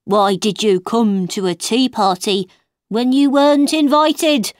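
Woman voicing an excitable, high-pitched mad hare. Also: slow pace, dry recording, studio quality. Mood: brusque, angry. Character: March Hare, mad male hare, rude then mournful.

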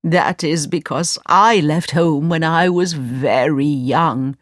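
Woman playing a slow, scheming old wizard man. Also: sits low, no room sound, studio quality. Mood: smooth, pleasant. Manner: slow, drawn-out, theatrical delivery. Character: sly old male wizard posing as kindly uncle.

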